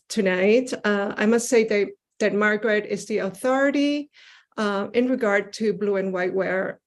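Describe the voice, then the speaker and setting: Woman from ecuador presenting her art on a video call, earnest, lots of uh and um, mid pitch. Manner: earnest, with frequent "uh" and "um" fillers. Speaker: guest artist presenting her work. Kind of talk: online seminar presentation with slides.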